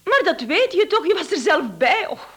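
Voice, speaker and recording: A woman's voice: extremely high pitch, big pitch swings, moderate pace. An actress playing a concerned woman who reasons and coaxes in a placating way, in a radio play.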